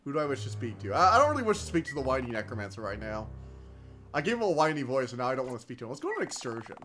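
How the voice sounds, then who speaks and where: A man streaming a video game, chatting excitably and reading the game text aloud, laughing often, with a slightly whiny voice. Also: mid-range voice, racing. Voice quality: self-described whiny voice. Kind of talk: casual let's-play commentary, reading game text aloud. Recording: game music behind.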